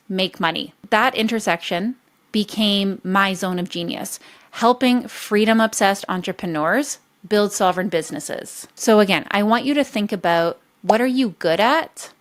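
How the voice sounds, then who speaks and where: A woman business coach teaching a motivational masterclass, direct and emphatic, talking straight to her audience. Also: medium pitch.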